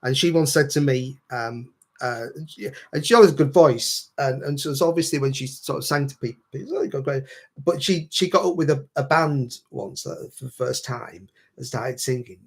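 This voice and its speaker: Male host, mid-pitched, gravelly texture, easygoing question-asking. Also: a little fast. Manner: friendly interviewer, many fillers and repeats. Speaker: host, guitar teacher. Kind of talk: casual live stream chat.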